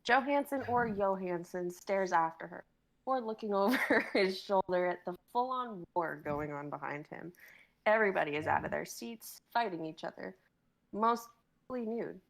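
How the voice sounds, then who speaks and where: A woman's voice: medium pitch, animated, moderate pace, gravelly. A woman in her twenties reading and chatting casually on a noisy livestream as a performer. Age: 20s. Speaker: performer on a live reading stream. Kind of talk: script reading and casual chat.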